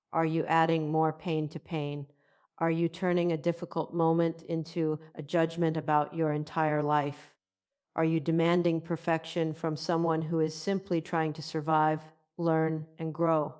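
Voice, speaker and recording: Low, soothing woman narrating gently. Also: smooth. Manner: gentle, measured, soothing delivery. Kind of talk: calm guided self-compassion narration.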